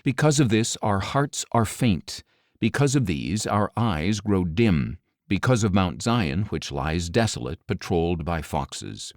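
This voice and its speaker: Low-voiced man solemnly reading scripture. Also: big pitch swings, no room sound, clean studio sound. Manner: measured, grave delivery with deliberate phrasing. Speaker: audiobook narrator.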